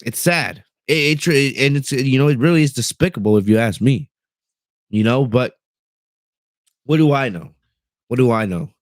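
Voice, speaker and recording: A man's voice: mid-range voice, expressive, normal speed, pauses often, dry recording. Rambling young man on a dry mic. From United States. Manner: rambling, frequent fillers and restarts; reads and answers live chat. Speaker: wrestling podcast host. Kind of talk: casual livestream commentary. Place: bedroom at home.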